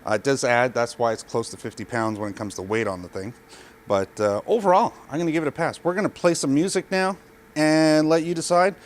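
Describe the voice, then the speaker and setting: A man's voice: mid-range voice, fairly quick. A man giving an unscripted product review in a plain-spoken, informal, matter-of-fact way, speaking into a body-worn mic. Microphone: body pack mic.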